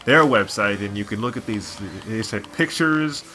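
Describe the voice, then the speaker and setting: A man's voice: on the low side, moderate pace, sharp tone, soft background music. A man doing casual gameplay commentary as a co-host, rambling and opinionated like a devoted fan. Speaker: gaming video co-host.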